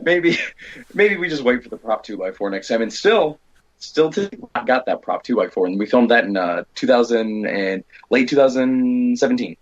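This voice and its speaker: A man's voice: medium pitch, normal speed, lo-fi sound. An American man telling rambling anecdotes as an interview guest, casually quoting the people in his stories. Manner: rambling, animated retelling with quoted dialogue. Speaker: guest, indie horror filmmaker and stunt performer.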